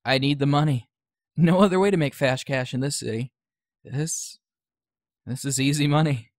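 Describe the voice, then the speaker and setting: A man's voice: mid-range voice, moderate pace, no echo, clean studio sound, close to an everyday voice. A man acting an earnest, troubled young male student in an audio drama. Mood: earnest, nervous.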